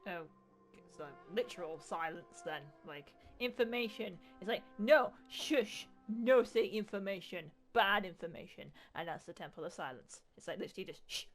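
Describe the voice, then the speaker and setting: A woman's voice: medium pitch, expressive, normal speed, faint music behind. A woman giving casual, sarcastic game playthrough commentary, informal and given to swearing. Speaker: gaming video host.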